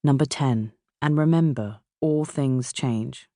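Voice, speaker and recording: A middle-aged woman from England with a southern English accent reads a memoir as its author, in a measured, expressive way. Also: a bass voice, no room sound, studio quality. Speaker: author narrating own audiobook. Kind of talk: scripted memoir narration. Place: dry recording studio.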